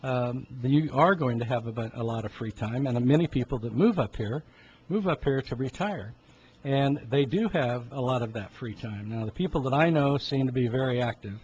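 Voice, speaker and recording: A man in his seventies giving an informal health talk in a halting, rambling way, often clearing his throat. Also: medium pitch, a little mellow. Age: 70s. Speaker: health talk host, medical practitioner. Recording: dull lo-fi sound with background noise.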